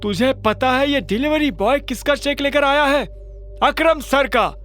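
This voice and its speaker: A man's voice: very high voice, unhurried, a bit bright, faint music behind, pitched above the performer's own voice. A man voicing a sly, bossy manager in a secret gang, scolding and emphatic, in an audio drama.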